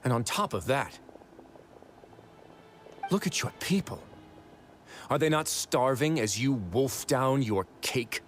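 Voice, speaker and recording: Measured, mid-pitched commanding warden. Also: male voice, expressive, faint music behind. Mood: stern. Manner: measured, eloquent, firm. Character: composed, principled male prison warden, quietly commanding. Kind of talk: dramatic video game voice acting.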